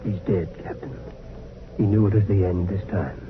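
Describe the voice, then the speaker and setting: An actor playing a wary, suspicious spaceship doctor in a 1950s radio drama. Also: male voice, low-pitched, normal speed, noisy background, lightly acted. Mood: sombre. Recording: tinny old radio broadcast.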